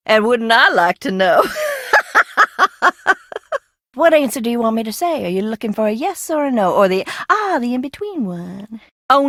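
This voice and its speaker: An unhinged, bitter woman hiding in a crypt for a video game. Her high voice swings widely as she moves between giggles and menace, drawing words out slowly and theatrically. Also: fairly bright tone, dry recording, clean studio sound, full character voice. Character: eccentric, bitter, unhinged younger sister living hidden in a crypt. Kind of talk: video game character acting.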